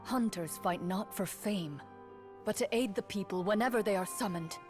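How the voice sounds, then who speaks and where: A woman's voice: mid-range voice, moderate pace, lightly acted. A woman acting as a solemn fantasy storyteller, narrating dramatically in a video game. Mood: grave. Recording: clear music behind the voice.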